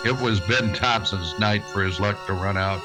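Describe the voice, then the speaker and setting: A man playing a stern, wry frontier marshal in a western radio drama, steady and unyielding. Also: on the low side, moderate pace, music in the background, close to an everyday voice. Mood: grave.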